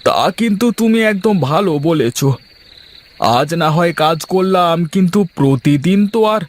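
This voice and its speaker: A man's voice: high-pitched, lively intonation, normal speed. A man voicing a weary, grumbling village farmer in a cartoon folk tale, with exclamatory, folksy talk. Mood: pleased, musing.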